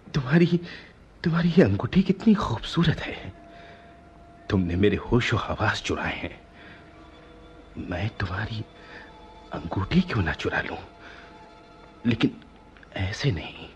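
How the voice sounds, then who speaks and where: A man playing a lovestruck, hot-tempered young prince in a radio play, with a husky, theatrical delivery. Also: lowish voice, highs cut off, slight background noise. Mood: enchanted. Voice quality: husky, breathy. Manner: theatrical, poetic. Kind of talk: Hindi radio play acting.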